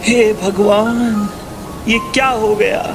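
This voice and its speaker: A man plays a worried village traveller in a cartoon moral tale. His voice is high for a man, earnest and fearful, with music clearly behind it. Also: fairly even intonation, slow pace. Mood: lamenting. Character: frightened, pious village man on a journey.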